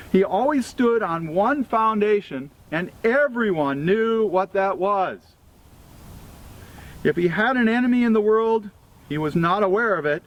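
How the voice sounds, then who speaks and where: Man, fairly high pitch, formal scripted tribute, measured phrasing, outdoor recording, noticeable background ambience. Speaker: reader at a historical commemoration. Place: outdoors at a cemetery gathering. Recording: outdoor recording with clear ambient vehicle noise.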